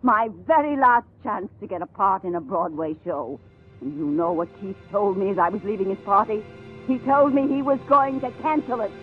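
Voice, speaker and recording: An American actress playing a bitter, haughty fading stage star with theatrical indignation in a 1950s radio drama. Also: female voice, mid-range voice, normal speed, lightly acted. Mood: bitter, defiant. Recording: muffled vintage radio broadcast with music.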